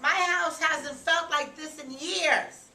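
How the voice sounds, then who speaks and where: A woman's voice: high-pitched, fairly even intonation, takes it slowly, pushed and bright, voice pushed up, full character voice. A woman voicing a kindly, easygoing neighbour lady from a storybook, reassuring and affectionate. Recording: roomy, distant mic, faint noise.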